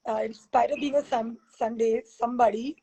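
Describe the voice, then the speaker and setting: A woman hosting a casual interview over a video call, chatty and rambling, her voice husky from teaching back-to-back classes. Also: fairly high pitch, fairly even intonation, fairly quick, mellow, rough recording. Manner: chatty, rambling, laughs often. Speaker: fitness expert and host.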